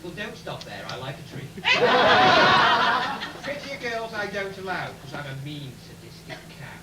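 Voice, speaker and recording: An actor's wicked panto queen, haughty, theatrical rhymes, lively swooping delivery, noisy echoey room. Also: medium pitch, normal speed, close to an everyday voice. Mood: haughty, villainous. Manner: theatrical rhyming declamation, haughty. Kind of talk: amateur pantomime villain verse. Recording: distant, echoey, noisy theatre bar recording.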